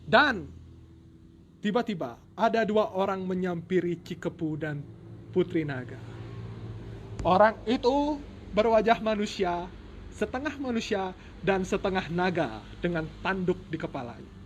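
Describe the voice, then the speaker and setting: Male storyteller, high voice, playful folk-tale narration with joking asides, light noise behind. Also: expressive, a little slow, pauses often. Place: at home.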